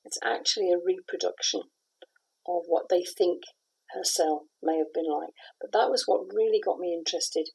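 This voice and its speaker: A middle-aged woman from the west of Scotland talks through her work in a husky, fairly low voice. She answers in a thoughtful, measured way, with pauses and restarts. She sounds like a playwright giving an interview. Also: a little mellow, rough recording.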